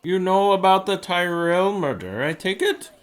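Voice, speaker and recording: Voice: mid-range voice, animated, normal speed, lightly acted. Someone playing a sly old-fashioned shopkeeper, super polite and salesy, voice swoops all over, audio drama. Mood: intrigued, musing. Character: genteel, sly antiquarian shop owner selling a rare book.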